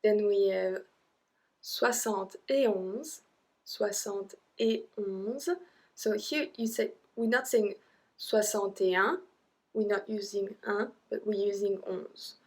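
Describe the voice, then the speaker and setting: French-accented lady teaching a lesson, slow and careful, chatty bits in between. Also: mid-range voice, a little mellow. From French-speaking Europe. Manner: slow, deliberate drilling, chatty asides. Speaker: language teacher. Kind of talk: online language lesson.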